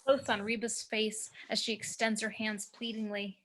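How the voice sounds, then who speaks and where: A woman's voice: medium pitch, level tone, normal speed, a bit bright. A woman reading screenplay action as narrator, with dramatic, clipped phrasing, recorded over a home video call.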